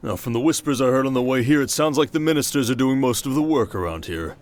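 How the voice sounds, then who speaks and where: A man plays a shrewd fantasy king in an ASMR audio drama, with a mid-pitched voice. He is measured and wry, regal in bearing, with a teasing and protective streak. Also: natural voice. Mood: tender, quiet.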